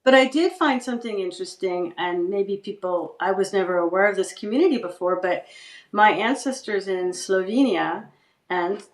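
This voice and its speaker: A woman guest speaking reflectively about her writing and family history, often pausing and restarting. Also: medium pitch, roomy sound. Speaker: guest writer. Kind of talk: casual interview chat.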